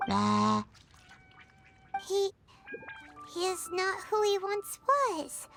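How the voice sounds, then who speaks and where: Very high small youthful voice, creaky edge, formal, careful, game character. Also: female voice, sing-song, very slow, a bit bright, soft background music, heavily acted. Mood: apologetic, concerned. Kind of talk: video game voice acting.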